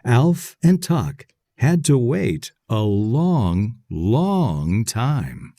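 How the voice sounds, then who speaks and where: Very slow, animated male children's story reader. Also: medium pitch, dry recording, clean studio sound. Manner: short phrases, clearly separated for young readers. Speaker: read-aloud narrator.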